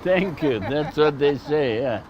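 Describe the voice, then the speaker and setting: A man's voice: on the high side, lively intonation, a little slow, dull audio, crowd noise. An older man from Bulgaria with a Bulgarian accent, telling anecdotes casually and plainly as a shopkeeper being interviewed. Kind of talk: casual oral storytelling.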